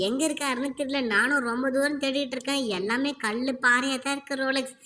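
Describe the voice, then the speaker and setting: A woman voicing an anxious, exasperated young boy in a cartoon, scolding his friend in rapid complaints. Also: high-pitched, steady tone, pushed and bright, slight background noise. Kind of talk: Tamil cartoon voice acting.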